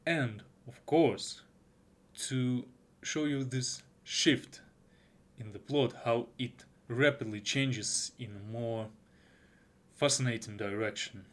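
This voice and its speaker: A young man whose first language is Russian, talking through an unscripted anime review with lots of restarts and fillers. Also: medium pitch. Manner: halting, with frequent restarts and fillers. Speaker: YouTuber.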